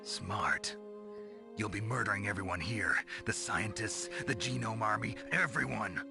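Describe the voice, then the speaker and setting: An actor playing a gruff, battle-worn soldier hero in a video game, with a gravelly growl and a terse, defiant delivery. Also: male voice, on the low side, measured pace. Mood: bitter, defiant. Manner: terse, demanding, incredulous. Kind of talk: dramatic video game voice acting. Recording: loud music behind the voice.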